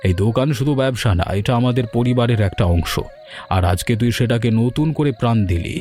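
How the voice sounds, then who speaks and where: Over soft music, a man voices an older village shopkeeper for a cartoon. He is an earnest, sentimental father who speaks with open emotion. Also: mid-range voice, a little fast, lightly acted. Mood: moved, proud. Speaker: cartoon voice actor. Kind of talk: dramatized cartoon dialogue. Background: background music.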